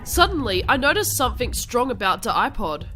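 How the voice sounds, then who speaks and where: A woman's voice: on the high side, very animated, a little fast, bright, forceful tone, soft background music. A woman narrating comedic fan fiction dramatically as a melodramatic teenage goth girl. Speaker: narrator and lead voice.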